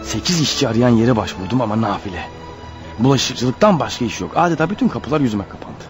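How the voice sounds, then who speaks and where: Radio drama husband played by a male actor: proud, exasperated, pleading, animated and quick, slightly dull recording. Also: mid-range voice, close to an everyday voice. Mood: dejected, musing. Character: harried, proud, defensive unemployed husband. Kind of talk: radio theatre acting. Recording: dull lo-fi radio play recording, faint music.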